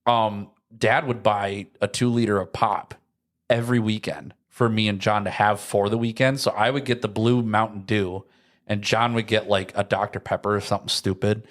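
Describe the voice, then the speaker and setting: Low-voiced guy chatting. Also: fairly even intonation, moderate pace. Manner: rambling, anecdotal, full of slangy asides. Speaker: podcast co-host. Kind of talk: casual chat.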